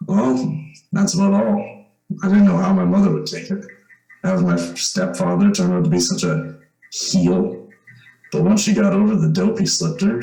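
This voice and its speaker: Earnest kid-like young guy played by a man, old radio suspense drama, creaky voice, roomy echo. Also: fairly high pitch, a little mellow, heavily acted. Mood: sheepish, relieved. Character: earnest boyish young man who trapped his would-be killer. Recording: roomy, echoing amateur radio play.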